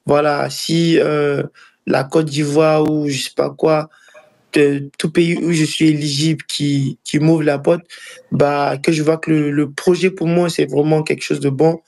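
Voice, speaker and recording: A young man from Ivory Coast with an Ivorian accent gives casual interview answers as a footballer. His voice sits fairly high and is heavy with fry. He talks haltingly, circling back and repeating phrases to press his point. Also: level tone, a little mellow. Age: early 20s. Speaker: professional footballer being interviewed.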